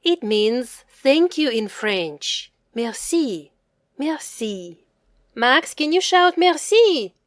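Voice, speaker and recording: Woman, children's quiz presenter, teacherly, careful enunciation, very slow pace, animated pitch, dry close sound. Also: no echo. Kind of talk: quiz questions and answers for young children.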